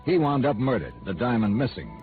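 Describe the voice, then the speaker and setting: A man's voice: mid-range voice, very animated, a little fast. Wry hard-boiled radio detective man. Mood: wry narration. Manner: dry, clipped, sardonic. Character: wry, hard-boiled male freelance insurance investigator. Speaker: narrator and lead actor. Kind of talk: 1950s radio noir acting and first-person narration. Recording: tinny old radio recording with music.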